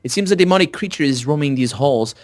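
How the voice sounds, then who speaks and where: A man hosting a co-op let's play, chatting casually and reading dialogue options aloud in a halting, conversational way. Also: a little high, animated.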